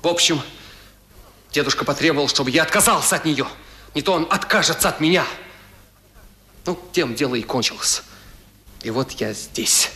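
An actor playing an ardent, proud young gentleman in love, in a 1970s radio play, speaking emphatically. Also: on the high side, normal speed, pauses often, a little hiss or hum. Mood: indignant, proud.

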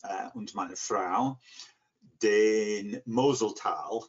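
An English man in his sixties with an English accent, answering interview questions haltingly as he searches for words in a second language. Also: on the low side, slightly rough. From England, near Liverpool. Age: 60s. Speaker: interview guest, language learner. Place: living room at home. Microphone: computer mic on video call. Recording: dull, lo-fi video call.

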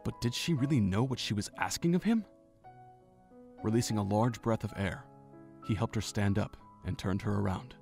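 A man reads the story's narration in a somewhat low, measured voice. His delivery stays even and steady. Soft music plays faintly underneath. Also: vocal fry.

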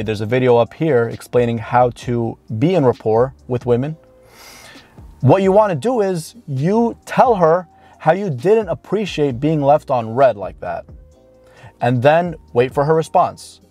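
A man's voice: medium pitch, animated, mellow, no room sound. A man of around thirty gives blunt, informal, emphatic coaching advice straight to camera. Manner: blunt, informal, emphatic with drawn-out key words. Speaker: dating coach. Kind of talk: direct-to-camera advice talk. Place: inside a parked car. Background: background music.

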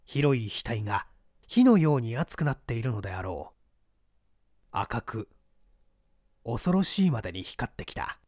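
A man narrating a mystery story in a deliberate, dramatic reading style. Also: mid-range voice, sing-song, darkish tone, no echo, clean studio sound.

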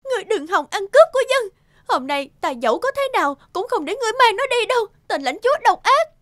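A woman's voice: very high-pitched, sing-song, quick pace, a bit bright, full character voice. An actress playing a poor, desperate, defiant peasant woman in a cartoon folk tale, wailing and indignant. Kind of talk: animated folk tale dub acting. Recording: music under the voice.

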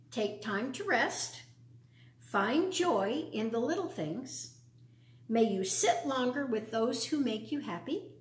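An older American woman in her sixties or beyond hosting a children's storytime, talking and reading aloud in simple, deliberate phrases for young listeners. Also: fairly high pitch. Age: 60s or older. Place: living room at home, indoors. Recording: roomy echo.

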